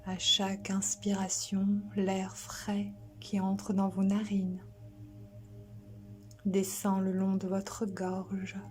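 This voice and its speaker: A woman guiding a sleep relaxation as a yoga instructor, soothing and gentle, soft and breathy, often near a whisper, with long pauses. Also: medium pitch, completely flat delivery, faint music behind.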